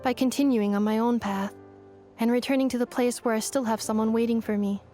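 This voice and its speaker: A woman playing a composed, sincere robot in a video game, with formal, precise and measured speech. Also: on the high side, fairly even intonation, dark tone, soft background music, close to an everyday voice. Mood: earnest, resolute.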